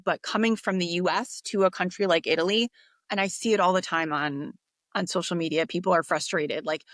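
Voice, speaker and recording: A woman from the United States, a podcast guest, talking in a relaxed, reflective way with fillers and restarts. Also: on the low side, no echo, studio quality. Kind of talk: casual interview talk.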